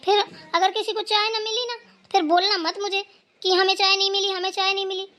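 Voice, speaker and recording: A woman's voice: very high voice, flat delivery, a little fast, pushed and bright. A woman voicing a dutiful, anxious young daughter-in-law who talks eagerly and pleads.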